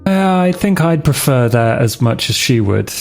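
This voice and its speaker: A man's voice: medium pitch, expressive, moderate pace, vocal fry, darkish tone, soft background music. A man playing a casual, stubborn vampire character who talks in blunt, informal bursts. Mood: insistent.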